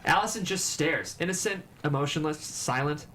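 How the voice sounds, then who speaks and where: A man's voice: fairly high pitch, normal speed, a bit bright, a little hiss or hum. A man reading stage directions as narrator at a table read, with dramatic storytelling delivery.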